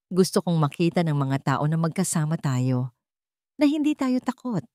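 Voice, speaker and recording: A woman's voice: deep voice, big pitch swings, moderate pace, warm tone, no room sound, studio quality. A woman voicing a proud, remorseful old tycoon father in a story narration, hopeful and determined.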